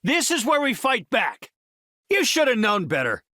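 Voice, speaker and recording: An actor voicing a brainy, earnest middle-aged superhero scientist for a video game, articulate and formal, with scientific vocabulary. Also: male voice, very high-pitched, wildly swooping pitch, measured pace, pushed and bright, dry recording, studio quality, heavily acted. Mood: urgent, commanding.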